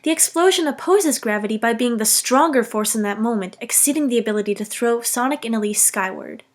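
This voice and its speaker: A woman's voice: a little high, expressive, fairly quick. A young woman narrating a scripted, humorous video game commentary in a self-deprecating, mock-dramatic way.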